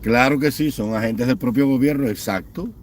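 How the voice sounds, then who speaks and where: A man's voice: mid-range voice, moderate pace, slight background noise. A man giving an impassioned political rant, piling up scornful lists and mocking. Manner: fiery, repetitive listing, mocking. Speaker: political commentator.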